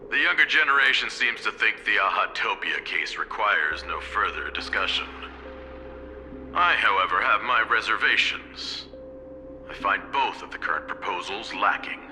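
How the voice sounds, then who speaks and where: An actor playing a stern, calculating old corporate patriarch in a video game, with measured, formal and authoritative speech. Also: male voice, on the low side, lively intonation, lightly acted. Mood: weighty, deliberate. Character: stern, calculating elderly male corporate elder. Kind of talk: dramatic video game voice acting. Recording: loud music behind the voice. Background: music.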